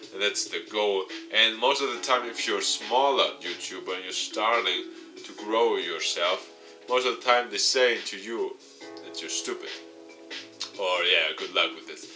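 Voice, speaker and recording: Low-voiced man reading aloud over music. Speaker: gaming YouTuber. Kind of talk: let's play, reading visual novel text aloud with asides. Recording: music playing behind the voice.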